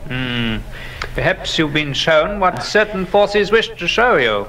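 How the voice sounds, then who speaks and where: An actor playing a curious, skeptical gentleman antiquarian in a horror audio drama, erudite and exclamatory, musing aloud as he inspects things. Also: on the high side, lively intonation, slow pace, a little hiss or hum, exaggerated voice, poor audio. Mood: startled, doubtful.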